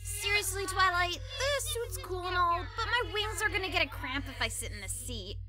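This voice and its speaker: A woman's voice: very high voice, lively intonation, moderate pace, loud music behind the voice. A woman playing a brash, sarcastic pegasus pony in an audio drama, flippant and teasing in manner. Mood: grumbling, skeptical.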